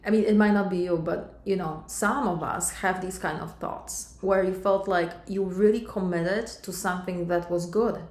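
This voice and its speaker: A woman's voice: fairly low pitch, fairly even intonation, moderate pace, few pauses, a little mellow, roomy sound. A middle-aged woman from Poland with a Polish accent, a life coach talking directly to her viewers and calling them sister and my love. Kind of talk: coaching monologue to camera.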